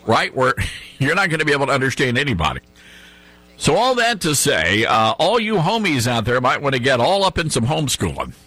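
Animated Southern talk radio host. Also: male voice, mid-range voice, no room sound. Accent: Southern US, Tennessee. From Tennessee. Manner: animated, folksy, laughs easily.

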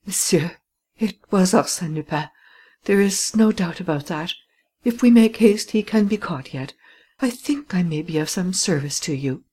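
A woman playing a commanding gentleman thief. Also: on the low side, lively intonation, close to an everyday voice. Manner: brisk, imperious instructions. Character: cool, commanding gentleman thief, clever and persuasive.

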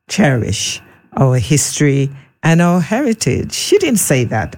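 A radio host, addressing listeners in an earnest, uplifting and deliberate manner. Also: mid-range voice, a little mellow.

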